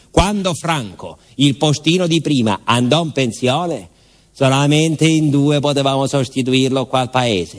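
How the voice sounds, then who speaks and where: A man's voice: mid-range voice, close to an everyday voice. A male actor playing a folksy village postman with a Southern Italian accent, telling his story in a rambling, colloquial theatrical monologue full of asides. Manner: colloquial, digressive, with rhetorical asides and repetitions.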